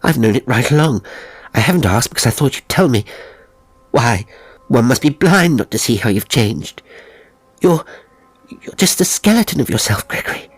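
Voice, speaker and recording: Man voicing an anxious, breathy, higher-pitched young woman. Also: lively intonation, moderate pace, pauses often, no room sound. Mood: pleading. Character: anxious, pleading young English woman, tender and insistent. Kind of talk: audiobook character acting.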